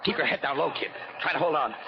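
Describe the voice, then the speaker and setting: Through heavy noise and a muffled old radio recording comes a tough, cool-headed newspaperman. His voice sits a little high, and he barks short commands in 1940s crime-drama style. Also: quick pace, vocal fry. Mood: urgent, commanding. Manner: terse, commanding.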